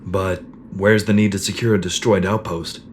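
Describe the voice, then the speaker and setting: Guy playing a determined space trooper, kinda low voice, questions stuff, audio drama, bit of noise. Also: flat delivery, moderate pace, natural voice. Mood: earnest, questioning. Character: determined, questioning male sci-fi shock trooper, squad leader.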